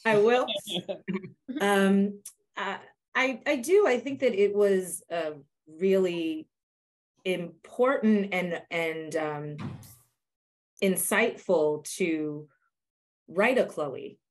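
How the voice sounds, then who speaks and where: A woman's voice: medium pitch, very slow, pauses often. A woman speaking as an actor on a video call panel, reflective and searching for her words in a casual discussion. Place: indoor room on a video call. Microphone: video call computer microphone.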